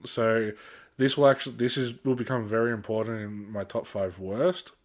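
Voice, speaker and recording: A man's voice: on the low side, steady tone, normal speed. A man with an Australian accent co-hosting a podcast, chatting casually in a blokey, informal way with some mild swearing. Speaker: podcast co-host. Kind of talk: casual pop-culture podcast chat.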